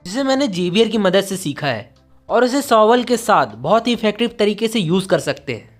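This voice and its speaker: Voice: medium pitch, very animated, moderate pace, soft background music. A narrator voicing a confident, righteous young male lord with a self-assured, declaiming manner.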